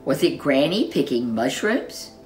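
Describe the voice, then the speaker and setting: A woman reads a children's story in a low voice with very lively intonation. She is expressive and leans into dramatic, drawn-out words. Soft music plays underneath.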